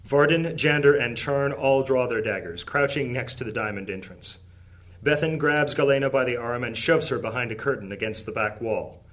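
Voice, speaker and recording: A man narrating an audio drama in a steady, descriptive way. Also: mid-range voice, normal speed. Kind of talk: scripted narration.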